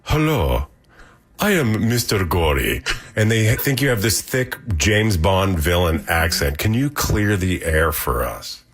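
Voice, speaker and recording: A man's voice: sits low, very animated, unhurried, breathy edge. A man in his fifties asking questions in an informal recorded interview. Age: 50s.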